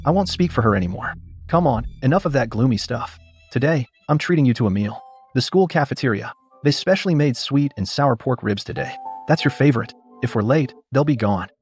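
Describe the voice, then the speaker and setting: A man playing a supportive, kindly teacher and official in a dubbed radio drama, measured and husky. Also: medium pitch, animated, a little mellow, soft background music, close to an everyday voice. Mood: kindly, reassuring.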